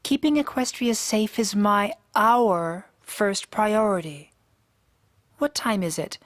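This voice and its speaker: Slow, regal pony princess acted by a woman. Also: mid-range voice, no room sound, studio quality, lightly acted. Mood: firm, dismissive. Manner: formal, grave, measured. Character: regal pony princess, stern, weary, duty-bound elder sister. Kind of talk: radio drama acting.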